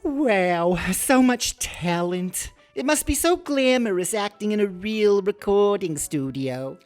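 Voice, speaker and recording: Starry-eyed host girl woman putting it on, gushy, normal pitch, music low underneath. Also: animated, slow, a little mellow. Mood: dreamy, gushing. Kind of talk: scripted comic hosting.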